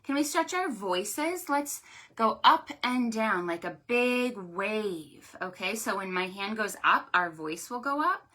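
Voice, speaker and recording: A woman hosting a preschool storytime, speaking to small children in an animated, sing-song, exaggerated way. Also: medium pitch, slow. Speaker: children's librarian, storytime host.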